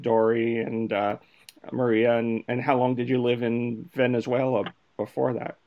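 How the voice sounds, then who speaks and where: A man's voice: medium pitch, level tone, normal speed, narrow, tinny audio. A man co-hosting a podcast, reading a scripted intro and then asking interview questions, stumbling and repeating words as he asks. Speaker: podcast co-host.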